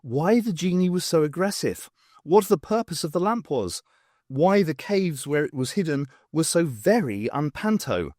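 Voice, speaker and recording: A man voicing a brisk, stern woman adventurer in an audiobook, commanding and dryly exasperated. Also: on the high side, very animated, darkish tone, dry recording, clean studio sound, voice pushed up. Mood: probing.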